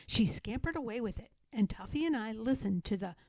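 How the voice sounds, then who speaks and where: A woman reading a children's story aloud in an expressive, dramatic way, leaning on key words. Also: on the high side, brisk, dry recording. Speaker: storybook reader.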